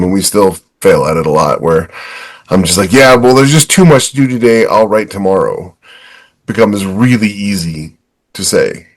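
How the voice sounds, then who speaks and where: Lower-voiced man hosting a casual podcast. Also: low-quality recording. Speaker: podcast host.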